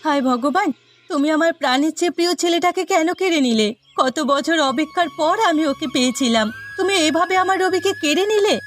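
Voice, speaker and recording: A woman's voice: very high voice, brisk, soft background music. A woman voicing an anguished, tender village mother with pleading, emotional delivery in a melodramatic moral-story cartoon. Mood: grieving.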